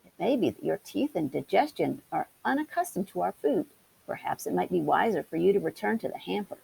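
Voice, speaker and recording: A fussy, genteel town mouse from a children's storybook, played by a woman. He is polite and a little huffy, with lively swings of pitch and a heavy creak. A faint hiss sits behind the voice. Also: a little fast, rough recording. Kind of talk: storybook character voice. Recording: hissy background noise.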